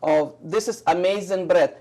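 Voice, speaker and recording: A woman from Russia with a Russian accent, a shop owner chatting eagerly about her products in halting English with many fillers. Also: lowish voice, warm tone. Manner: enthusiastic, halting non-native English with fillers. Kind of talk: casual chat.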